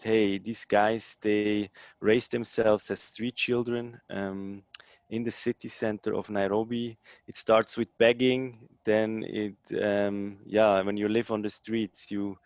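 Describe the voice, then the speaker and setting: A man in his thirties from Switzerland, answering interview questions in a hesitant way with many pauses and ums. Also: lowish voice, little pitch movement, darkish tone, no echo, lo-fi sound. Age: 30s. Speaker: social entrepreneur guest.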